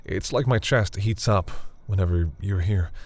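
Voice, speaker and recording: A man playing a flustered, tender vampire boyfriend in an intimate audio roleplay, speaking haltingly with stammers and sighs. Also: low voice, a little mellow, lightly acted. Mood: hesitant, earnest.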